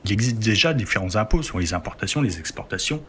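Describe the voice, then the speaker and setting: A man with a lowish voice explains history in a relaxed podcast chat. He talks it through step by step, pausing on filler words as he builds his sentences. Faint background noise sits under his voice. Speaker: host telling history.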